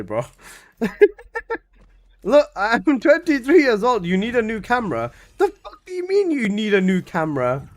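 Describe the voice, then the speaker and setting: A man in his twenties, casually chatting on a live game stream, reading out chat messages and protesting loudly, with casual swearing. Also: high voice. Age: 20s.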